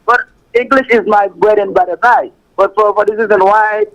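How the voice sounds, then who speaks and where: A man with a Haitian accent calling a show on a muffled phone line, speaking halting learner English with many repeated words. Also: high-pitched, a bit bright. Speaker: caller to an English-practice show. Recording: muffled lo-fi phone call on air.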